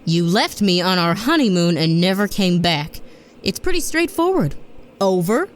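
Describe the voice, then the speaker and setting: An actress playing a tough, sarcastic woman who leads a space mission in an audio drama, with a brisk, sardonic delivery. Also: on the low side, sing-song. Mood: wary, indignant. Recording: noisy background ambience.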